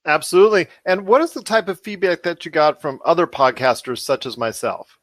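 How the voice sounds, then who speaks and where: A man's voice: fairly high pitch, lively intonation, brisk, fairly bright tone, dry recording, studio quality. A man hosting a podcast interview in a wordy, effusive, promotional conversational style.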